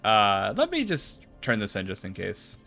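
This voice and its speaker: A man's voice: lowish voice, wildly swooping pitch, measured pace, creaky, pushed and bright, faint music behind. A man doing a game playthrough, reading dialogue aloud and adding casual, joking asides. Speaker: video game streamer.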